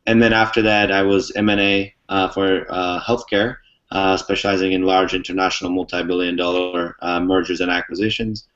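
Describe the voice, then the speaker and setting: A man's voice: deep voice, flat delivery, moderate pace, a bit bright. A young man from the Czech Republic, an interview guest, explaining things fluently step by step with many "um"s. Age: young adult. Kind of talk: casual explanatory talk. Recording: muffled lo-fi recording.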